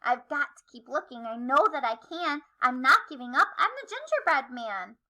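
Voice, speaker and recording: Female reader as little gingerbread man, storybook hero, high voice, bright tone, plucky, cheerful, sing-song rhymes. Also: sing-song, normal speed, exaggerated voice, rough recording. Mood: determined. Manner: sing-song rhyming exclamations. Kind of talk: children's storybook read-aloud.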